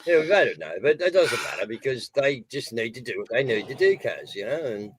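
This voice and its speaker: A man's voice: mid-range voice, very animated, brisk, slightly rough. Brief: male co-host, blunt, swears freely, indignant. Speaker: stream co-host. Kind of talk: casual news chat.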